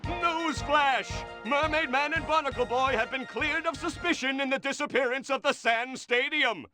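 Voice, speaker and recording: A man's voice: very high-pitched, normal speed, over music. A man voicing an urgent cartoon newsreader in a game, delivering lines like a bulletin announcer. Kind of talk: news bulletin parody.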